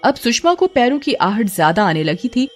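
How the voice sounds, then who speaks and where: A woman narrates a horror story in a scripted, dramatic style. Her voice is on the high side and swings expressively, with music playing behind it. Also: sing-song, normal speed.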